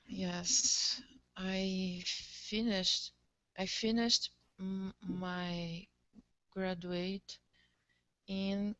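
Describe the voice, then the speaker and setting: A woman who is learning English as a second language, answering haltingly and carefully in a lesson, correcting herself as she goes. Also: mid-range voice, level tone, a bit bright, dry recording, muffled recording. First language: not English. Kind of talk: hesitant answers in an online lesson.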